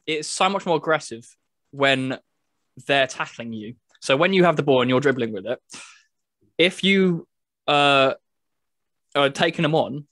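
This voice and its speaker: A man's voice: on the high side, fairly bright tone, no room sound, clean studio sound. A man hosting a casual video chat about games, talking as a podcast presenter. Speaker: podcast host presenting a game review video.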